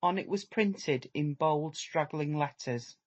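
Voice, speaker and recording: Measured male audiobook narrator, slightly dull sound. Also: fairly high pitch. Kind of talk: scripted literary narration, dramatic reading.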